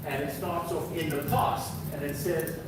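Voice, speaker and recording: A man lectures from slides, walking an audience through examples in an unhurried, explanatory way with plenty of ums. His voice sits a little high and comes from well away from the microphone. The hall rings with heavy echo and a steady background noise. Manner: explaining slides, reads prompts aloud, many fillers. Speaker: visiting professor. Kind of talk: university lecture. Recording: very echoey hall, distant mic, background noise.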